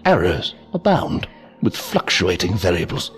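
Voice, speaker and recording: A man's voice: low-pitched, big pitch swings, unhurried, faint music behind. A man playing a sinister, courtly, inhuman villain in an audio drama, verbose and mockingly polite in a mannered, theatrical way. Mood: coolly condescending. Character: sinister, courtly, unhurried villain, inhuman interrogator posing as a gentleman. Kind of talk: science fiction audio drama acting.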